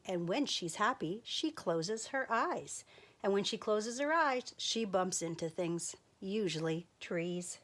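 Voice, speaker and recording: A woman reading a picture book aloud to children. Her voice is playful and expressive, sitting at a middle pitch while her melody leaps around. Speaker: storyteller teacher.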